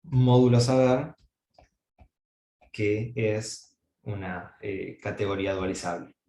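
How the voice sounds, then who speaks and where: A man's voice: fairly low pitch, slow pace, warm tone, roomy sound. A man giving an academic mathematics lecture, thinking aloud with frequent fillers and restarts.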